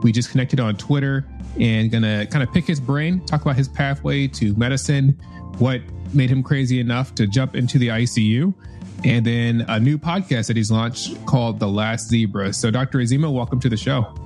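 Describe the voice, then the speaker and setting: A man's voice: medium pitch, moderate pace, a little mellow, faint music behind. A man in his thirties hosting a podcast, upbeat and informal, using casual slang. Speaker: podcast host.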